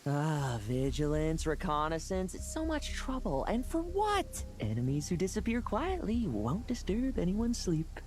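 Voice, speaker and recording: An actor playing a smug, mischievous young knight captain with a ruthless streak in a video game. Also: male voice, sits high, wildly swooping pitch, unhurried, few pauses, darkish tone, heavily acted. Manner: playful, theatrical, sly.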